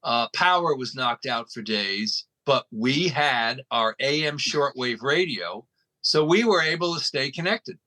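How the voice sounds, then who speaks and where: A man's voice: mid-range voice, lively intonation, measured pace, pushed and bright, poor audio. A man speaking as an interview guest, explaining things in an emphatic, folksy storytelling way. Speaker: interview guest, radio station operator. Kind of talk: conversational explaining.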